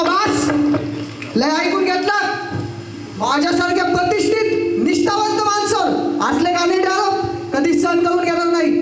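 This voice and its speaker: An actor playing an arrogant, menacing politician on stage with a Maharashtrian accent, contemptuous and repeating words for emphasis. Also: male voice, extremely high pitch. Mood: indignant, boastful. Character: arrogant corrupt male politician, menacing and boastful. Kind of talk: theatrical Marathi stage acting. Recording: echoey stage hall recording.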